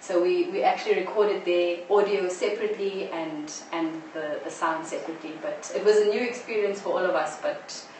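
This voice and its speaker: A woman's voice: on the low side, steady tone, fairly quick, hardly any pauses, darkish tone. A woman explaining her work as a drama producer in an interview. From South Africa. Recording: echoey, distant live stream, faint noise.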